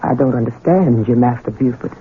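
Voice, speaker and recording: A woman's voice: a bass voice, brisk, a little hiss or hum. A woman playing an anxious, deferential middle-aged housekeeper in a 1970s radio drama, humble and folksy. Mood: anxious, distressed. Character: anxious, deferential older housekeeper, protective mother. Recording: tinny 1970s radio recording.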